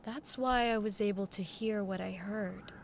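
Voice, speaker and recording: A woman's voice: medium pitch, a little slow, background noise, lightly acted. An actress playing an earnest, nervous woman who works in network radio, in radio drama dialogue and narration. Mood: haunted, awed.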